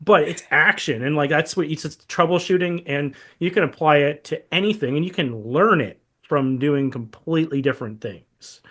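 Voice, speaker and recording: A man's voice: on the high side, moderate pace, few pauses, a bit bright. A man hosting a casual podcast interview, talking informally in choppy, restarted phrases. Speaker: podcast host.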